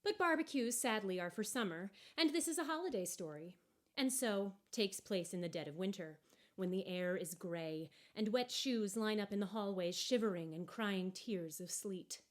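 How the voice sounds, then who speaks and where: A woman narrating a story aloud from a book in an expressive, deliberate and wry way. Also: medium pitch. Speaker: storybook reader.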